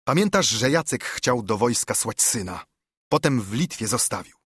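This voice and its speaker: A man's voice: mid-range voice, lively intonation, normal speed, fairly bright tone, slightly rough, no room sound, clean studio sound. A man voicing a brooding, urgent monk who admonishes and reasons earnestly, in a dramatised verse audiobook. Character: brooding, urgent monk, scolding and pleading with a friend.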